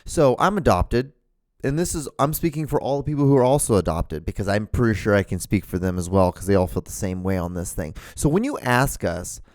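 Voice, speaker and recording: A man's voice: on the low side, lively intonation, brisk, a little mellow, no echo. A man in his thirties from Honduras, hosting a solo podcast in a casual, rambling, profane way with many restarts, sitting in a room at a microphone. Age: 30s. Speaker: podcast host. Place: room at home, seated in front of a camera. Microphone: studio microphone, wearing earphones.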